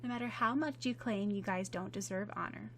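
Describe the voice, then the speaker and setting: A woman voicing a friendly contest judge who gives warm praise, close to her own voice. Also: mid-range voice, a little fast, slight background noise, lightly acted. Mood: praising.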